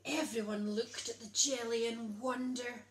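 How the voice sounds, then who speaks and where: A woman, reading a children's picture book aloud in an animated storytelling style. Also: on the high side, a little slow, slight background noise. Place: small child's bedroom, indoors. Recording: echoey small room.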